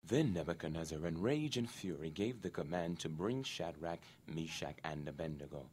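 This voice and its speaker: A man narrating a dramatized scripture reading in a measured, solemn manner. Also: sits low, a little mellow, slight background noise.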